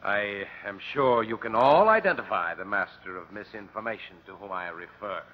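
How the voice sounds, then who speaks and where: An actor playing a pompous, impatient archaeology professor, curt and dismissive, in an old-time radio drama. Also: male voice, fairly low pitch, lively intonation, moderate pace, natural voice. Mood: pompous, oratorical. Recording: muffled, noisy old radio recording.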